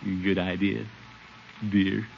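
An actor playing a pompous middle-aged uncle feigning illness in a radio sitcom, with blustering, coy, drawn-out delivery. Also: male voice, deep voice, level tone, gravelly, exaggerated voice. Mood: dreamy, smitten. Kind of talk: 1950s radio sitcom acting. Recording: muffled, noisy old radio broadcast.

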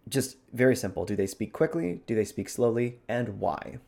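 Lower-pitched man, casual podcast host. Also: normal speed, vocal fry, warm tone. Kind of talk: casual discussion of voice acting technique.

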